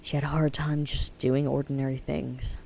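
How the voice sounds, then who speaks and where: A man's voice: mid-range voice, little pitch movement, normal speed, gravelly, dark tone, lightly acted, poor audio. A friendly, earnest teenage boy, played by a man, in an audio drama. The recording carries faint noise behind him. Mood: gentle, sincere.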